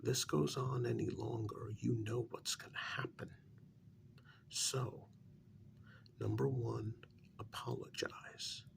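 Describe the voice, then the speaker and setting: A man doing a soft-spoken ASMR role-play as a frank, patient adviser to a cursed vampire, speaking in a measured, whispery way with a gravelly fry. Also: mid-range voice, steady tone. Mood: coaxing, firm. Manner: measured, deliberate, explanatory.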